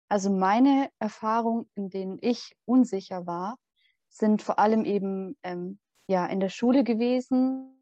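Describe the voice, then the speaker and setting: A young woman of twenty-one talks casually in a mellow, dry voice, pausing often with fillers. The recording is dull and a bit lo-fi, with no room sound. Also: medium pitch, no room sound. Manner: hesitant, many fillers. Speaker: student podcast co-host. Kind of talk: casual chat.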